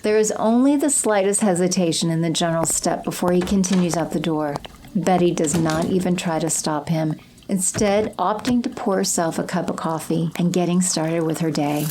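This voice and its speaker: Lowish female narrator over noisy ambience. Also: normal speed, dark tone. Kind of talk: scripted audio drama narration.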